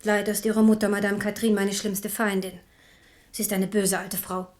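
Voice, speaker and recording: Radio play role: queen mother female performer, medium pitch, grave, proud and embittered. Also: little pitch movement, moderate pace, a little mellow, close to an everyday voice. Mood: bitter. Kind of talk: German radio play acting.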